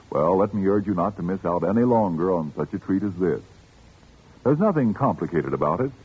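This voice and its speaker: A man's voice: on the low side, breathy edge. An American man reading a 1940s radio commercial as the sponsor's announcer, emphatic and salesmanlike. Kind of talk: 1940s radio advertising read. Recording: dull 1940s radio broadcast recording.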